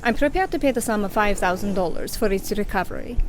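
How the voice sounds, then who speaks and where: A woman's voice: medium pitch, lively intonation, fast talker, faint music behind, lightly acted. A woman playing a polite but menacing female crook who speaks in a formal, precise way, in an amateur audio drama.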